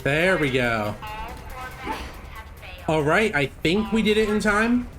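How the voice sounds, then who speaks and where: A man's voice: high-pitched, sing-song, slow pace. A man giving casual live commentary as he plays through a game speedrun. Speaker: gaming streamer, speedrun commentator. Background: game music and sound.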